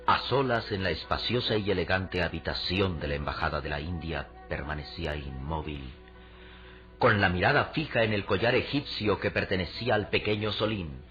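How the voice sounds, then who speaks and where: Deep, forceful male radio-serial narrator. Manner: solemn, theatrical. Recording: dull radio recording with music underneath.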